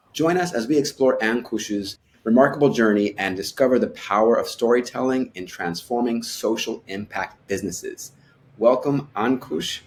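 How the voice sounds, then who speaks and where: A man hosting an interview podcast, asking questions in a friendly, measured way. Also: mid-range voice, darkish tone, slight background noise. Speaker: podcast host.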